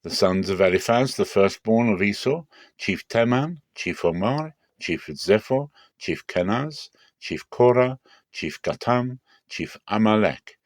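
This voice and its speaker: A man reading scripture aloud dramatically, in measured and deliberate phrases with clear pauses. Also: on the low side, expressive, dry recording, studio quality. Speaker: Bible reader.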